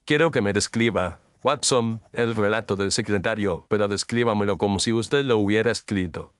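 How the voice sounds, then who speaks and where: Guy doing a cool sharp detective, measured and analytical, lowish voice, dry close sound. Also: clear, resonant tone, no echo, lightly acted. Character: calm, incisive male detective, precise reasoner. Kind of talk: audio drama acting.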